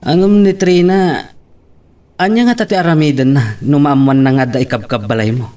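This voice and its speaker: A man's voice: on the high side, moderate pace, darkish tone, natural voice. A man playing a frank friend who gives persuasive, questioning advice in an audio love drama. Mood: cautioning. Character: frank male friend advising his partner in love. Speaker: voice actor in a drama.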